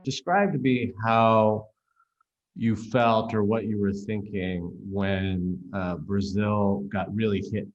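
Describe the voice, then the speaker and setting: A lower-pitched man hosts a remote podcast interview, feeling his way through gentle questions full of ums and restarts. His audio sounds a little dull and lo-fi. Music beds play under the talk. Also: fairly even intonation, takes it slowly. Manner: gentle, searching questions with frequent fillers. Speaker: guest podcast host, professor.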